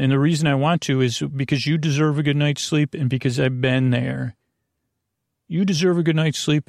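A man hosting a bedtime podcast in a gravelly, creaky voice, rambling haltingly, trailing off and circling back. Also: mid-range voice, a little mellow, no room sound, clean studio sound. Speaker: podcast host.

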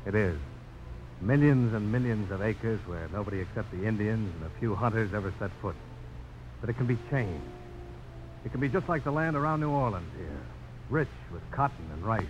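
A man playing an earnest, bold frontier gentleman in a 1950s radio drama, speaking with deliberate self-assurance. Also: lowish voice, animated, dull audio, natural voice. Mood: earnest, ardent. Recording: noisy old radio broadcast.

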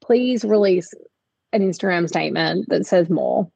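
A woman with an Australian accent co-hosting a casual pop culture chat in a chatty, expressive, informal way, with a husky, creaky voice. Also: lowish voice, moderate pace, dry recording. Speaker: podcast co-host.

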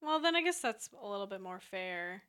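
A woman's voice: medium pitch, sing-song, moderate pace, a bit bright. A woman co-host giving blunt opinions in casual chat, with many likes and restarts. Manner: blunt, filler-heavy opinions. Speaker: podcast co-host.

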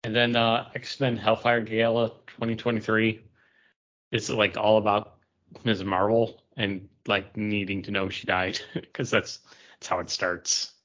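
A man with a lowish voice gives casual opinions as a podcast co-host. He speaks conversationally and off the cuff. The recording sounds a bit dull, with limited clarity. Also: little pitch movement, unhurried, fairly bright tone.

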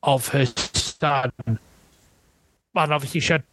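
A Welsh man talking as a guest historian in a relaxed interview, thoughtful and hedging, often restarting his sentences. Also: medium pitch, slow, no room sound. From Wales. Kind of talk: casual interview conversation.

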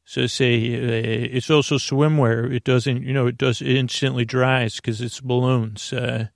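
A man's voice: mid-range voice, flat delivery, a little slow, vocal fry, no room sound, clean studio sound. A man playing a goofy male inventor who shows off his balloon underwear, saying "me" for "my". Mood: hammy. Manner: hammy, pirate-like "me" for "my".

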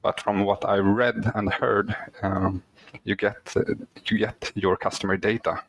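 A man's voice: lowish voice, flat delivery, measured pace, vocal fry, fairly bright tone, no room sound, rough recording. A Swedish man whose first language is not English, a guest author answering podcast questions hesitantly with many fillers. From Sweden. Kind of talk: podcast interview.

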